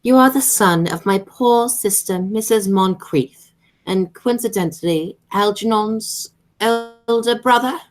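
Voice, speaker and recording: A woman's voice: medium pitch, a little slow, faint music behind, natural voice. A woman playing a haughty older upper-class aunt in an amateur radio comedy, pompous and formal. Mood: haughty, unflappable.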